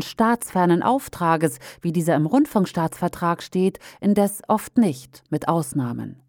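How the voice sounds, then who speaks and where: A woman reading aloud a critical opinion commentary in a pointed, measured way. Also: fairly low pitch, a little mellow, dry recording, clean studio sound.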